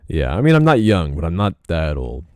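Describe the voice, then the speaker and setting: Deep-voiced man chatting on stream. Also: darkish tone, no room sound, studio quality. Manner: laid-back, rambling, frequent throat clearing. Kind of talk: casual live-stream chat while drawing.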